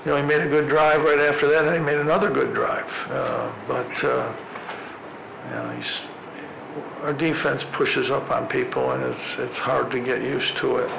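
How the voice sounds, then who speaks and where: A man's voice: mid-range voice, vocal fry. An older man, a basketball head coach, answering questions at a postgame press conference, talking plainly with many fillers and restarts into a podium microphone. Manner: halting, plain-spoken, frequent fillers and restarts. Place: press room at a podium before a sponsor backdrop. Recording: echoey press room with background noise.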